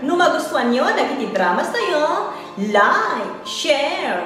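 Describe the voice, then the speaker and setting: Female channel presenter, high animated delivery, heavily echoed promotional outro. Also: takes it slowly, over music. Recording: echoey, reverberant, distant from mic.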